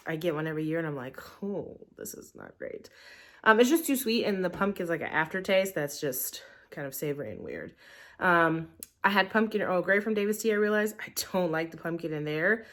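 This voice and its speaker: A woman's voice: lowish voice, animated, brisk. Congested, nasal young woman chatting casually. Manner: chatty, rambling, many ums. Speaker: tea review vlogger. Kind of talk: casual review vlog. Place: living room at home. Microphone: camera in portrait mode.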